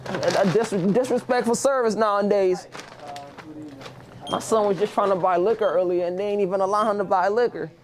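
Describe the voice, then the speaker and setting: A young man putting on a higher, louder voice to play a pretend father in a disguise, complaining about service in a liquor store. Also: steady tone, fairly quick, a little hiss or hum, close to an everyday voice. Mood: indignant. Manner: loud, boastful, higher pitched put-on voice. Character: pretend middle-aged father in a disguise, brash.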